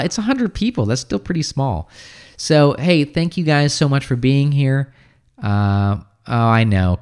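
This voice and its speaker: A man in his thirties, raised in the United States, hosts a relaxed English-practice livestream from a small room at home. His voice is smooth and clear at a middling pitch. He chats loosely into a close boom mic and answers viewers' questions with plenty of fillers. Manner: relaxed and chatty, many fillers, answers comments offhand. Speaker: English teacher hosting a livestream. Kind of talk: casual livestream chat reading viewer comments. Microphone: studio mic on boom arm close to mouth.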